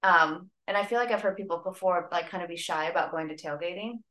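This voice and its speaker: A woman's voice: lowish voice, fast talker, pushed and bright, roomy sound. A woman co-hosting a casual podcast chat, chatty and full of filler likes. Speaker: podcast co-host.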